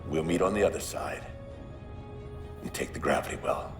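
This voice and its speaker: A man playing a gruff, arrogant older starship captain in a sci-fi game, commanding and brusque. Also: a bass voice, moderate pace. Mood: stern, urgent. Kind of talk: cinematic sci-fi game dialogue. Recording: music behind, large reverberant space.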